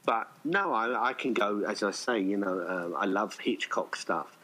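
A man's voice: on the low side, a little slow, sharp tone, low-quality recording. A middle-aged man chatting casually as an interview guest, talking in a rambling, conversational way that often circles back. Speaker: guest filmmaker being interviewed.

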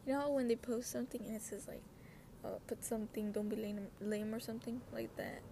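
Young woman, slightly high pitch, casual podcast rambling, slangy, self-interrupting, faint wind and traffic noise. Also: fairly even intonation, fast, vocal fry, dark tone. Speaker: podcast host. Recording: windy outdoor noise, passing cars. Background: wind, cars, ambient noise.

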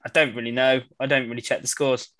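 A man's voice: medium pitch, flat delivery, fast, fairly bright tone, dry recording, studio quality. A man hosting a casual podcast, upbeat and jokey, sounding a bit nasal and congested from a cold. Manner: upbeat, jokey, laughs often. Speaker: podcast host. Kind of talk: casual chat.

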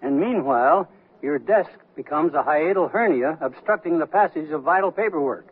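A man's voice: on the high side, expressive, moderate pace, breathy edge. A man acting in a radio comedy as a blunt, sardonic business consultant who lectures executives. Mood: scolding. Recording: tinny band-limited old radio broadcast.

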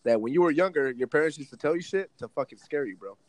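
A man hosting a casual podcast. He rambles with many fillers, then trades loud jokes and drops Spanish into his talk. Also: fairly high pitch, lively intonation, quick pace. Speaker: podcast host.

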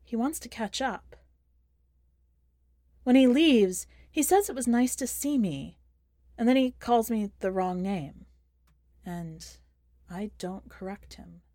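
A woman reading a first-person short story in a measured, expressive audiobook style. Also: a little high, no echo, clean studio sound. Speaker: podcast host and fiction reader.

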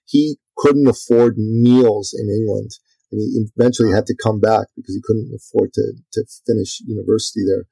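A middle-aged man from Iran speaks in a low, gravelly, slightly husky voice with a dark, mellow tone. His delivery is flat and conversational, filled with ums and restarts. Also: normal speed, dry recording, poor audio. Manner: talkative, many fillers and restarts. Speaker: podcast guest and author. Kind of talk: casual interview talk.

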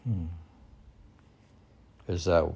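A man's voice: low-pitched, very slow, creaky, warm tone, slightly rough. A man reading his own poems, rambling and questioning himself in a second voice. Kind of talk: casual self-questioning poetry reading.

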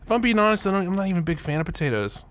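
A man's voice: high voice, sing-song, racing, fairly bright tone. A man co-hosting a casual comic podcast, blunt and profane, given to ranting. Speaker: podcast co-host. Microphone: podcast microphone with headphones.

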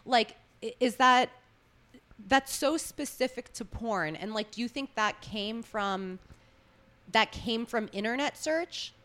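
A woman's voice: fairly high pitch, slow, bright, forceful tone. Laughing woman host asking frank questions. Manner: curious and frank, asks questions, laughs often. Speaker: podcast host. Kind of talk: casual interview chat.

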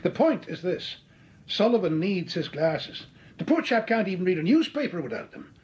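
A suave scheming crook. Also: male voice, sits high, big pitch swings, moderate pace, a little mellow, highs cut off. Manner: suave, mocking. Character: smooth scheming male crook faking his death. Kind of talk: 1940s radio acting.

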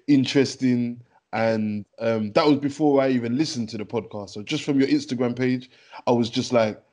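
A man's voice: medium pitch, a little mellow. A man hosting a podcast interview, chatty and friendly, calling his guest "man" and rambling through his questions. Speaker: podcast host. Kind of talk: casual interview.

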